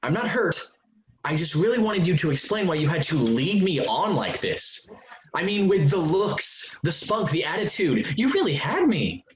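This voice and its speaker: A man playing a pushy, entitled young man who wheedles and justifies himself with menacing calm in a staged play reading. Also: fairly high pitch, normal speed. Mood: wheedling, menacing. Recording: dull, roomy, noisy video-call audio with clicks.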